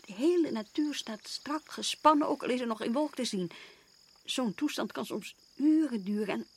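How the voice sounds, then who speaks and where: An actress the playing a restless, brooding, sharp-tongued wife in a radio drama, speaking intensely and haltingly. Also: female voice, fairly high pitch, expressive, breathy edge. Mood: uneasy, tense.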